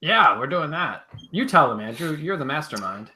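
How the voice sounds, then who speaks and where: A man's voice: a little high, big pitch swings, normal speed, pushed and bright, husky. A man co-hosting a podcast, chatting casually on a livestream. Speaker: podcast co-host and announcer.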